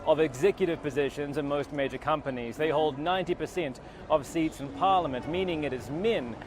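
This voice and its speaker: A man reporting as a television news correspondent, in measured broadcast delivery for pieces to camera and packaged stories. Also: a little high, noisy background.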